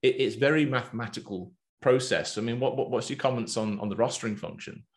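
A man with an English accent hosting a business chat, talking in a lively, loose way with plenty of fillers. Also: mid-range voice, fairly quick. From England. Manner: animated, talkative, loose with fillers. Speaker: podcast host and business coach.

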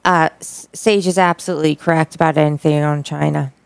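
A woman's voice: sits low, fairly even intonation, brisk, gravelly, smooth, no echo. A woman co-hosting a live show, chatting casually with blunt, exclamatory opinions. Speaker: co-host.

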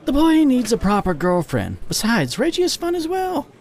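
A flirty, free-spirited animal woman, acted out by a man, pitched high and swooping about in her speech. She is playful and teasing, a smirking character from an adult fantasy story. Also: huge pitch swings, normal speed, darkish tone, exaggerated voice.

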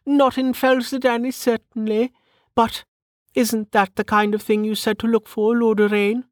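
A woman's voice: a little high, level tone, normal speed, no echo, studio quality, pitched above the performer's own voice, natural voice. A woman voicing a prim, snobbish noblewoman in an audiobook, with a testy, proper manner. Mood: casual, prying. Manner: haughty, sniffing, proper. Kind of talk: audiobook character acting.

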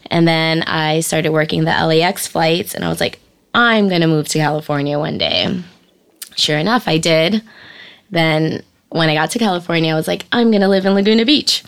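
A woman's voice: deep voice, lively intonation, moderate pace, clean tone. A woman with a New York accent, telling anecdotes as a guest in casual conversation. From New York City, born in the Bronx, raised in Queens. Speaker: podcast guest.

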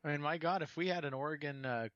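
A man in a casual sports podcast rants and rambles, stumbling and repeating words as he goes. His voice sits at an ordinary pitch. The sound is dry, close and slightly dull. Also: quick pace, fairly bright tone, no room sound. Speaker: podcast co-host.

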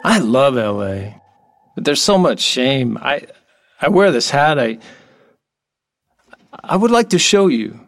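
Man playing a slow, gravelly, lonely dolphin-man. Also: medium pitch, very animated, fairly bright tone, dry recording, studio quality, full character voice. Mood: shy, confessing. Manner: halting, dreamy, earnest storytelling. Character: gentle lonely male dolphin-man, half human, half fish. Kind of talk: audio drama acting. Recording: music behind the voice.

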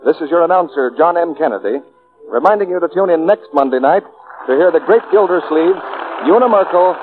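A man's voice: on the high side, expressive, a little fast, soft background music. An American man reading scripted announcements in a formal 1940s radio style. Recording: tinny 1940s radio broadcast.

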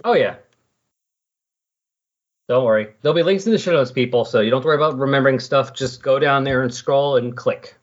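A man's voice: medium pitch, brisk, pauses often, lo-fi sound. A man hosting a podcast in a casual, conversational style. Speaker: podcast host. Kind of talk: casual chat about comic books.

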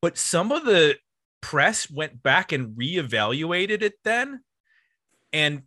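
A man's voice: on the high side, very animated, slow pace, sharp tone, no echo, studio quality. A man co-hosting a casual music discussion, rambling and peppering his sentences with "like". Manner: rambling, uses 'like' constantly. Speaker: podcast co-host.

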